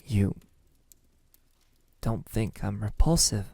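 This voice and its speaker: A man playing a possessive, lovesick vampire captor in an ASMR roleplay, speaking intimately in a husky voice that often drops into a whisper. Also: on the low side, warm tone, no echo, heavily acted. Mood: pleading, wounded. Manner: slow, lingering, halting delivery.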